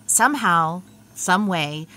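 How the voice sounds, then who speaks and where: Woman as prim agent, dry, formal, bright edgy tone, slightly low pitch, audio drama. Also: animated, takes it slowly, soft background music, full character voice.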